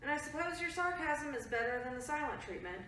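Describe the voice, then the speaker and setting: A woman plays a middle-aged mother worn thin by her grown daughter, quick to scold. Her voice is high and bright. It comes from well back in a very echoey room. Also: animated, slight background noise. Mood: reproachful. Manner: reproachful, clipped, scolding. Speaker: actor in a staged reading. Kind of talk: virtual staged reading. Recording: echoey room, mic far off.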